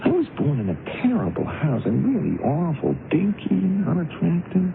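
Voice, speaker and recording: An actor playing an easygoing, smitten young biker in a radio drama, with a hesitant, folksy, colloquial way of talking. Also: male voice, a little high, huge pitch swings, moderate pace, creaky, over music, full character voice. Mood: casual, friendly. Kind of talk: radio drama acting with first-person narration. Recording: noisy lo-fi old radio broadcast.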